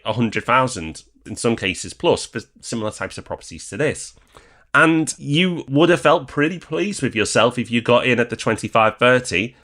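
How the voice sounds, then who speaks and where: A man's voice: on the low side, fairly bright tone. A man speaking conversationally as a podcast co-host about property, in an informal, bantering manner.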